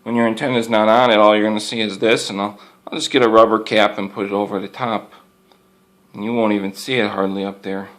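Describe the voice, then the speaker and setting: A man's voice: fairly low pitch, level tone, quick pace, a bit bright. Man rambling through a casual show-and-tell. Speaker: hobbyist product reviewer.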